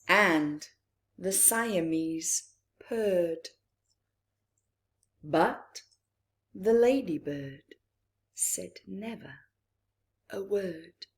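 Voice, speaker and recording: Very slow, expressive woman reading to children. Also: lowish voice. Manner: deliberate, expressive, with long pauses. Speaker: children's storytime reader. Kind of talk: picture book read-aloud with asides.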